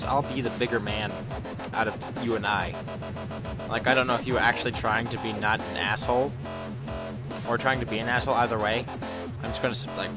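A young man giving a casual let's-play commentary, crude and rambling, with self-mocking jokes and sudden loud outbursts. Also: medium pitch. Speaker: gaming commentator. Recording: game music loud underneath. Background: electronic dubstep game music.

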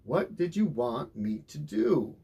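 Man as a sleepy, drawling boy, raised pitch. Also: big pitch swings, takes it slowly, gravelly, dark tone, slightly rough, full character voice. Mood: sleepy reluctance. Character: sleepy, reluctant young boy.

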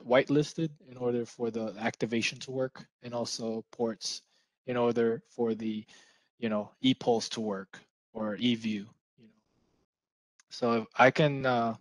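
A young man from the Philippines, Tagalog his first language, talks easygoingly on a video call. His mid-pitched voice is close and dry through a headset, and he lets his sentences fade out softly. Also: dry recording, poor audio. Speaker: support engineer guest. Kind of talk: casual video call chat.

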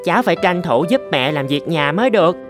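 A man's voice: fairly high pitch, expressive, fast, over music, close to an everyday voice. A man playing a kind, eager young elephant in a children's cartoon. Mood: happy.

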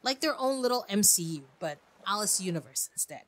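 A woman's voice: mid-range voice, animated, brisk, fairly bright tone. A woman hosting a podcast, reading a prepared script in an informal, conversational way with chatty asides. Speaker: podcast host.

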